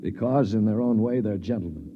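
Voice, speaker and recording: An actor playing a principled, weary injured man in a 1950s radio drama, speaking earnestly and deliberately. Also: on the low side, lively intonation, natural voice. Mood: weary, grave. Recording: muffled, noisy 1950s radio recording.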